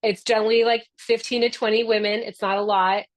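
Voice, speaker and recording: A woman's voice: on the high side, little pitch movement, a little fast, no echo. Upbeat chatty founder lady, spanish first language, middle-aged, talking over a video call, dry close sound. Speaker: founder hosting a member orientation. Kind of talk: live online orientation talk.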